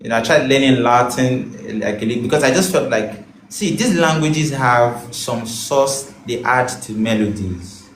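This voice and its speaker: A singer-songwriter man chatting as an interview guest, animated and breaking into song as he talks. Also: mid-range voice, unhurried, hardly any pauses, a little hiss or hum. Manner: animated, bursts into song mid-sentence. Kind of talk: casual chat. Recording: roomy echo.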